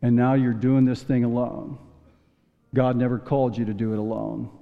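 A male pastor preaching a sermon in a folksy storytelling manner, stumbling into restarts and chuckling now and then, with a voice he says is going hoarse. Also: mid-range voice, flat delivery, moderate pace. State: losing his voice. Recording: dull long church reverb.

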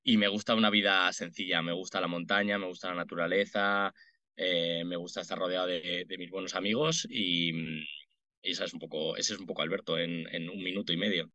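Man, late thirties, Madrid accent, strikingly bright and forceful voice, dry sound, informal interview talk. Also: low-pitched, flat delivery, a little fast, no room sound, muffled recording. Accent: Madrid Spanish. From Madrid, Spain. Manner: informal, colloquial. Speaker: podcast guest, entrepreneur. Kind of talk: conversational interview answers.

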